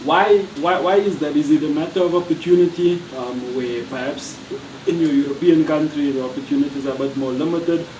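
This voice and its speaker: A man's voice: on the high side, fairly quick, few pauses, a little mellow, a little hiss or hum. A man asking long, wordy questions as a reporter at a press event. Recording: echoey room, distant from mic.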